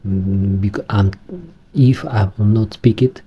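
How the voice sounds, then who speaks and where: A man with a Romanian accent, speaking English as a second language, talking through a computer setup in a halting way with many restarts. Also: lowish voice, fairly even intonation, slightly rough, highs cut off. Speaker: tech vlogger. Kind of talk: screen-recorded tutorial.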